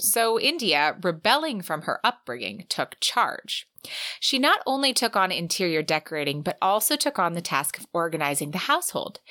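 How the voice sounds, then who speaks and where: A woman's voice: fairly low pitch, pushed and bright, no echo, studio quality. A woman co-hosting a book podcast, mixing casual chat with a read-out synopsis. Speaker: podcast co-host.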